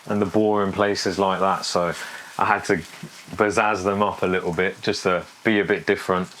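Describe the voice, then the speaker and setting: A man's voice: low-pitched, flat delivery, moderate pace, a bit bright, slight background noise, rough recording. A man, talking casually and candidly in an informal, matter-of-fact way. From England, born in Yorkshire with family from Pakistan. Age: 20s. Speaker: fashion designer, documentary subject. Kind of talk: casual, unscripted talk to camera.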